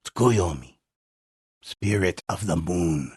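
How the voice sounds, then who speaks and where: An actor playing a grave old male storyteller, narrating dark legends for a video game in a solemn, measured, gravelly voice. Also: low voice, lively intonation, no room sound, clean studio sound, heavily acted. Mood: solemn, dramatic. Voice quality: gravelly, husky. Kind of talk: dramatic scripted legend narration.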